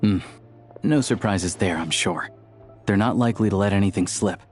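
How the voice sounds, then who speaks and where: A man playing a calm, shrewd, thoughtful ally in a video game, speaking in a measured, pondering way. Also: lowish voice, soft background music, close to an everyday voice.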